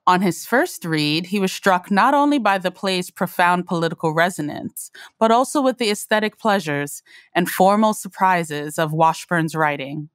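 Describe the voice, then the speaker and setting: Female narrator for arts program note; lowish voice, scripted delivery, very dry acoustic. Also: lively intonation, normal speed, dry recording.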